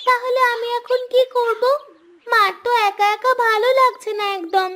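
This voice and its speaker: A woman's voice: very high-pitched, fairly even intonation, moderate pace, pushed and bright, slight background noise. A woman voicing a pleading, insistent little daughter in a cartoon, childlike and whiny. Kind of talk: cartoon voice acting.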